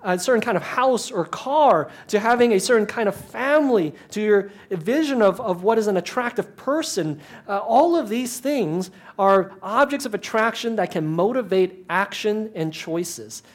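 A man's voice: high-pitched, animated, moderate pace, few pauses. A man in ministry giving a conversational talk to an audience, chatty and self-deprecating, with asides and many restarts.

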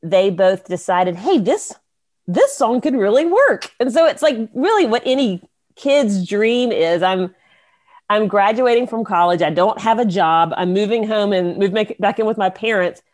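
A woman's voice: mid-range voice, lively intonation, a little slow, darkish tone. A woman from the Carolinas, a songwriter guest telling her story in an interview, bubbly and rambling with laughs.

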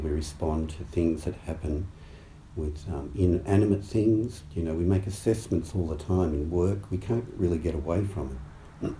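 A man with a croaky voice giving a dharma talk as a meditation teacher, speaking haltingly and thoughtfully with many fillers and restarts. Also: low-pitched, warm tone. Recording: roomy echo, faint background noise.

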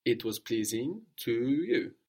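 A man's voice: medium pitch, sing-song, slow, darkish tone, husky. A man teaching a language lesson, explaining patiently and prompting his student with questions.

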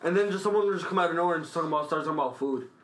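Rambling young man, slightly high voice. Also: very fast, fairly bright tone, poor audio. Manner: rambling, slangy, meandering. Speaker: podcast co-host. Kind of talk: casual storytelling.

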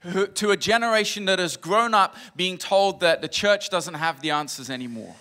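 A man, a missionary guest speaker, preaching at a church service in short earnest phrases and pausing after each one for his interpreter. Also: high voice, bright, forceful tone.

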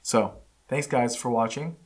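A man hosting his own podcast and talking at his usual middle pitch, a native Spanish speaker who now speaks English. His delivery is blunt and emphatic. He often stops and restarts his sentences. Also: a little slow, husky. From United States. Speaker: podcast host. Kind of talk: casual opinion monologue. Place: California.

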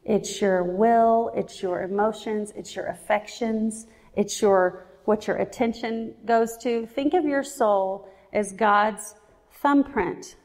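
Woman in her forties, sermon, reflective, slightly gravelly voice, reverberant hall. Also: medium pitch, darkish tone. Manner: earnest, reflective, slows down for emphasis. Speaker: preacher. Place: church.

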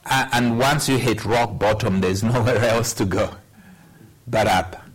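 A man in his sixties preaching a sermon, telling a personal story in a measured way with wry humor. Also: lowish voice, fairly even intonation, husky, poor audio. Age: 60s. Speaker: pastor.

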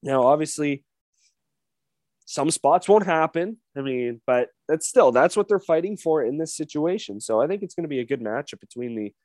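A man's voice: fairly high pitch, animated, moderate pace, darkish tone, no echo. A man co-hosting a sports podcast, running through game details in a casual chat. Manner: rundown of game details, hedging fillers. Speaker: podcast co-host.

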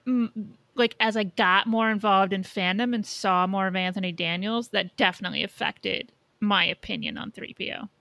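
A woman chats casually as a podcast co-host, blunt and opinionated. Her voice has plenty of vocal fry and a crisp, bright edge, recorded dry with no room sound. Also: medium pitch, fairly even intonation, moderate pace, few pauses, dry recording. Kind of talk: casual chat.

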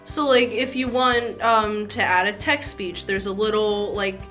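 A woman's voice: medium pitch, little pitch movement, a little slow, a bit bright, faint music behind, poor audio. A young adult woman hosting a podcast in a casual, informal chat, giggly and swearing freely. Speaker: podcast host.

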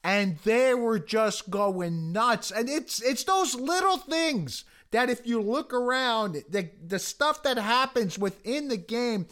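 A hoarse American man co-hosting a casual sports podcast, speaking earnestly and often restarting his sentences. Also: very high-pitched, animated, takes it slowly, hardly any pauses, a bit bright. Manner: earnest, many restarts and you-knows. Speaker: podcast co-host.

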